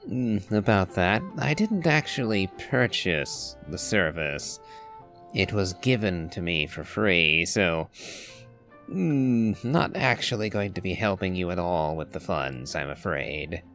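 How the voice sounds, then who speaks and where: Male game streamer: low, gravelly, unhurried and musing, game music behind. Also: big pitch swings, a bit bright. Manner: measured, musing. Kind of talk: casual in-character game commentary.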